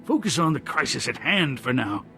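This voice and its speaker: An actor playing a dry, sardonic and firm commanding official in a video game. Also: male voice, mid-range voice, sing-song, normal speed, gravelly, fairly bright tone, soft background music. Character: wry, commanding male official in a crisis. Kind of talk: video game cutscene acting.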